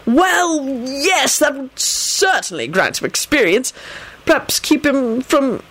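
A woman voicing a flustered, self-important male government minister who stammers and squeaks in an audiobook story. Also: sing-song, takes it slowly, fairly bright tone, heavily acted. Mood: hesitant, flustered.

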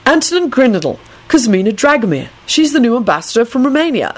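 A woman's voice: fairly high pitch, sing-song, fairly quick, pitched above the performer's own voice. A woman voicing an earnest, dreamy young man who guides people knowledgeably in an audiobook story. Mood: authoritative.

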